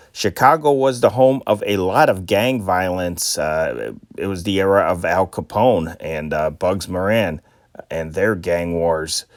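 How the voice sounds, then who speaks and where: A man's voice: lowish voice, no echo, clean studio sound. A man hosting an amateur channel, chatting with many fillers and then reading old newspaper stories aloud. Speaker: host.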